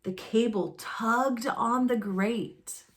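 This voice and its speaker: A woman reads a picture book aloud for children. Her voice sits a little high and she takes her time, pausing deliberately for dramatic effect and shaping the lines expressively. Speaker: storybook reader.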